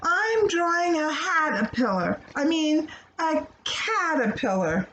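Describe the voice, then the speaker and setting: A woman voicing a wishful, easily disappointed young girl in a children's story, expressive and plaintive. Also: high voice, takes it slowly, lo-fi sound, a little hiss or hum, pitched above the performer's own voice, full character voice. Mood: playful.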